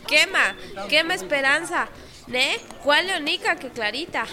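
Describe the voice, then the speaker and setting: An actress in a radio drama playing Remedios, a lively, friendly village woman. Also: high voice, measured pace, a crowd in the background.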